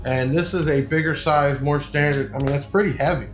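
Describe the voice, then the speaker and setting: A man's voice: a little high, fairly even intonation, a little fast. A man giving a casual, off-the-cuff product review, explaining things informally like a salesman. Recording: echoey room, faint music behind.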